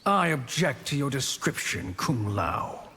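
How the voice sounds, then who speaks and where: A cruel game sorcerer who speaks very slowly, with cold, haughty contempt. His mid-pitched voice sits over faint noise. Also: sing-song, sharp tone. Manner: measured, haughty, menacing. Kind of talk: video game character acting.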